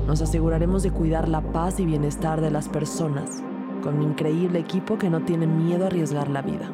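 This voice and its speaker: An actress plays a female police investigator telling her case in the first person. Her voice sits fairly low and is dramatic and introspective. Loud score runs underneath the audio fiction narration. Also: close to an everyday voice. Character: earnest, intense female police detective narrating her cases.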